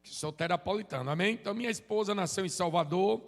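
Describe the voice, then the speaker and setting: Man, 40s, high-pitched and playful in the pulpit, heavy hall reverb. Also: fast talker. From Sergipe, Brazil. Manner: joking, chatty, drops "amém?" tags. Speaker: visiting preacher. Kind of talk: informal sermon with crowd call-and-response. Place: church sanctuary.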